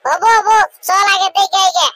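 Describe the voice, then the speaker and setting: A man voicing a bashful, gullible young village bachelor in a comic cartoon, with a pitched-up voice, colloquial hesitant manner and a rural regional Bengali accent. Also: normal speed, sharp tone, no room sound. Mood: eager, fretting.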